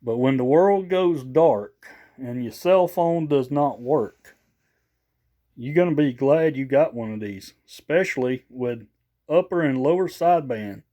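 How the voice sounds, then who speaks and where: A man's voice: mid-range voice, measured pace, mellow. A slightly tired man, rambling casually in a folksy, unscripted show-and-tell for a vlog. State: a little tired after work. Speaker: vlogger showing off a new CB radio.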